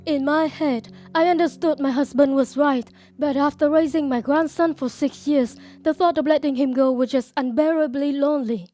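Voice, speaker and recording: A woman voicing a gentle, wistful grandmother in her sixties, narrating a manga dub. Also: sits high, fairly even intonation, moderate pace, few pauses, close to an everyday voice. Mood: wistful, resigned. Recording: background music under the voice.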